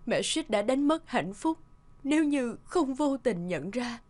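A tender mother from an animated family drama. Her voice sits on the high side and she speaks softly and sentimentally, like someone confiding a long-held regret to her son. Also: a little slow. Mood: reflective, regretful.

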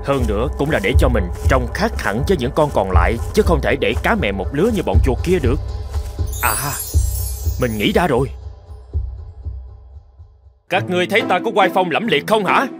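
A man's voice: medium pitch, animated, moderate pace, pauses often, music in the background, close to an everyday voice. A man voicing a vain, boastful mouse leader in a cartoon, pompous and self-important. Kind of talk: cartoon dubbing.

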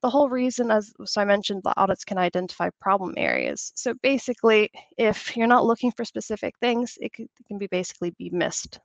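A woman, slightly hoarse from a cold, presenting a webinar over a video call in a chatty, upbeat way. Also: mid-range voice, no room sound. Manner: chatty, upbeat, frequent "you know". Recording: dry, slightly dull video call audio.

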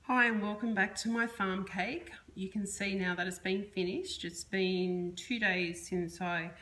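A woman's voice: mid-range voice, fairly even intonation, measured pace, fairly bright tone. A middle-aged woman chatting her way through a cake decorating demonstration in a home kitchen, explaining step by step with restarts, recorded by a camera on the counter. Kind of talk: casual how-to demonstration.

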